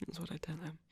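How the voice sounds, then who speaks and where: A man voicing a gentle, caring vampire boyfriend in a husky, breathy whisper for an ASMR roleplay, speaking tenderly and soothingly. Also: close to an everyday voice. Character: gentle, caring male vampire boyfriend, softly self-conscious.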